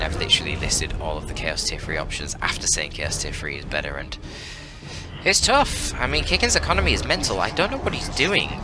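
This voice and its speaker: Deep-voiced man casting a game. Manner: casual, mildly sweary, exclamatory. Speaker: esports caster, channel host. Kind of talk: live game commentary, analytical and informal.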